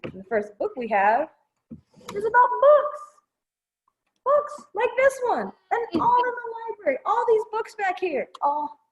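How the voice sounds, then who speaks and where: A woman's voice: very high voice, very animated, unhurried. A woman presenting a children's storytime, reading aloud expressively and explaining things simply for young listeners.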